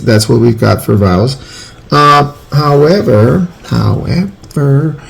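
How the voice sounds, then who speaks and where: A man in his forties talks through a phonics lesson in a loose, rambling way and keeps correcting himself as he goes. His voice sits a little low and is heavily gravelly, with a husky edge. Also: a little mellow. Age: 40s. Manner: rambling, self-correcting, informal. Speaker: teacher.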